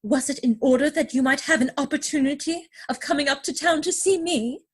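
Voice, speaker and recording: A woman's voice: sits high, fairly quick, close to an everyday voice. A woman playing a self-assured young society lady in a period stage comedy, speaking with affected, grand earnestness. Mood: earnest.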